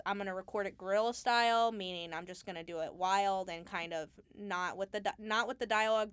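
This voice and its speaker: A woman speaking casually as an interview guest, explaining her craft in a halting, thinking-aloud way. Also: medium pitch, clean tone, no room sound. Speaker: Foley artist, interview guest.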